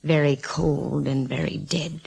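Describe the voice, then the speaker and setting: Low-voiced, wisecracking radio private eye. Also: female voice, steady tone, unhurried, gravelly, a little mellow, slightly rough, exaggerated voice, poor audio. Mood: wry, storytelling. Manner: breezy, sardonic, hard-boiled. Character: wisecracking, gutsy female private detective. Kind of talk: 1940s radio acting with first-person narration.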